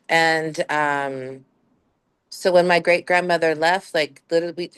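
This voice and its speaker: Give me a low-pitched woman in her fifties, rambling through a story with bits of laughter. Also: a bit bright, clear, resonant tone, no echo. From Southern California. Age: 50s. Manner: rambling, laughs between thoughts. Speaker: panel guest. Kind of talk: casual personal story.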